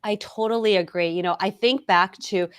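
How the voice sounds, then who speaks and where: A woman's voice: medium pitch, moderate pace, clean tone, no room sound, clean studio sound. A woman hosting a live-streamed panel discussion, talking casually and animatedly about her own experience. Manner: conversational, animated retelling of her own thoughts. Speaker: host.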